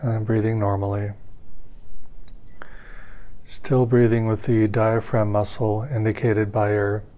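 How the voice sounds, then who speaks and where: Low, mellow man guiding meditation. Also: dull audio. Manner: calm, gentle, unhurried guiding. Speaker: meditation teacher. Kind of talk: guided meditation instruction.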